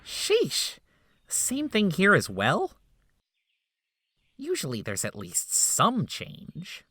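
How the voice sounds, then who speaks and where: Pria memerankan dokter sinis yang gampang kesal. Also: male voice, high voice, very slow, no echo, clean studio sound, heavily acted. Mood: puzzled. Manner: wry, exasperated, expressive. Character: sarcastic male doctor, impatient. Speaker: voice actor in a fan game dub.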